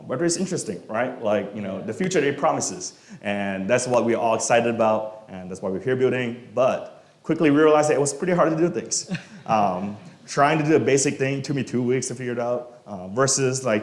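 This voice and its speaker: A man from Malaysia with a lowish voice speaks in a relaxed, unhurried way, full of fillers, his sentences often trailing off quietly. The sound carries the echo of an event room. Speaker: guest speaker, software engineer. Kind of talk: casual talk and Q&A answers. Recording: echoey room.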